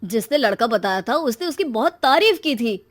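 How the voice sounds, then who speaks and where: An earnest, trusting young brother in an animated moral story, acted by a woman. He explains himself sincerely, in a voice still fairly high for a man. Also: moderate pace, no echo, studio quality. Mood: explaining.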